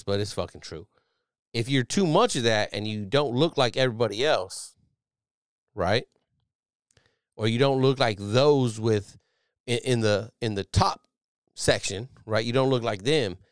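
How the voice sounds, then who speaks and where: A man in his thirties hosting a podcast, talking casually as a Navy chief, loud and profane with a strong voice. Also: lowish voice, measured pace, long pauses, clean tone, no echo, studio quality. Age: millennial, 30s. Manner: animated, profane, loud and emphatic. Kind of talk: casual solo podcast commentary.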